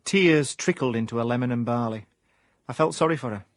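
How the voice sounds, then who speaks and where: A male actor plays a self-important amateur private eye with a South Yorkshire accent. He speaks in deadpan hard-boiled style at a middling pitch, recorded close and dry, as in comic radio drama. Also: fairly quick, no echo, lightly acted. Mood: deadpan brooding. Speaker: lead actor and narrator.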